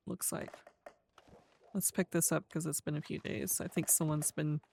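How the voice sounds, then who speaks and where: Roleplay game streamer, medium pitch, casual delivery, speech impediment, stumbles and mumbles a little. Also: gravelly, slight background noise. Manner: tongue-tied, mumbly, stumbles over words. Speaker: streamer playing a roleplay character. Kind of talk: casual game livestream commentary, reading aloud.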